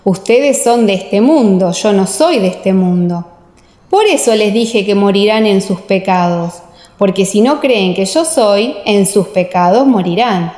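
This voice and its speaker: A woman's voice: mid-range voice, measured pace, warm tone, close to an everyday voice. A woman voicing Jesus as a solemn, authoritative teacher in an audiobook radio play. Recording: echoey, long reverb.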